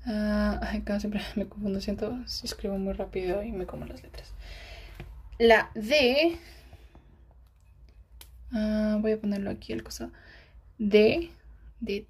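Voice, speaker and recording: A woman teaching pharmacology while writing on a diagram. She keeps checking in with rhetorical questions and sometimes drops into a hushed aside. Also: mid-range voice, steady tone, a little slow, long pauses, husky. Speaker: pharmacology tutor.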